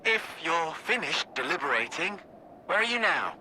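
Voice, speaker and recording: A brisk, sly male commander in a game cutscene, with a very sharp, fairly high voice. He is wry and lively, with faint rumbling noise behind him. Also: exaggerated voice. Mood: brisk, decisive. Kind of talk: video game cutscene acting.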